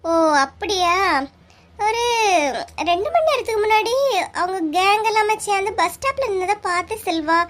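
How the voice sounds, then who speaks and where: Very high-pitched chatty young village woman, acted out. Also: expressive, normal speed, long pauses, a bit bright. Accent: Kanyakumari Tamil. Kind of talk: comic cartoon voice acting.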